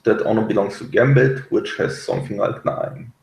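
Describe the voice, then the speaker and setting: A man in casual review chat as a co-host, speaking in a hesitant, halting way. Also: lowish voice, fairly even intonation, a little mellow, reverberant. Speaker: podcast co-host reviewing a TV series. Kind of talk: casual review chat, partly reading notes aloud.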